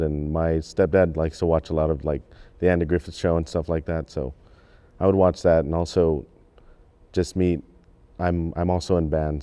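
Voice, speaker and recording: A man's voice: deep voice, dark tone, clear, resonant tone. A teenage male student actor explaining his role in a casual interview. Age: teens. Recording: faint background noise.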